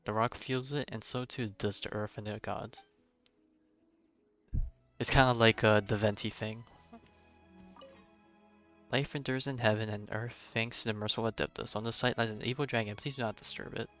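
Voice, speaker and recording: Low-voiced man streaming a video game. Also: fairly even intonation, moderate pace, pauses often, a bit bright, lo-fi sound, soft background music. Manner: informal, thinking aloud. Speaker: game livestreamer. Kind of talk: casual playthrough commentary, reading game text aloud.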